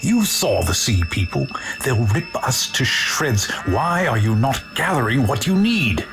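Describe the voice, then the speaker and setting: A man's voice: medium pitch, sing-song, a little slow, music in the background. A man in an audio drama playing a haughty, greedy ancient Egyptian landowner with a pompous, disdainful manner. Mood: urgent.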